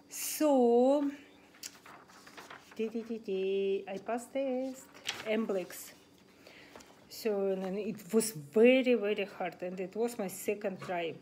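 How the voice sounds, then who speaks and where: A woman in her forties with a Russian accent, casually vlogging at a table, talking in a halting way with many fillers and restarts. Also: mid-range voice, warm tone, a little hiss or hum. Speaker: massage student sharing exam tips. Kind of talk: casual talking-head vlog. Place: indoors at a table in a home room.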